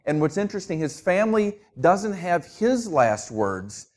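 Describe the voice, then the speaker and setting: A middle-aged man with a New Jersey accent gives a sermon as a visiting pastor. His voice sits somewhat high for a man, and he talks conversationally, slipping in jokes at his own expense. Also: sing-song, unhurried, darkish tone. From Minnesota. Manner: conversational, self-joking. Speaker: guest pastor.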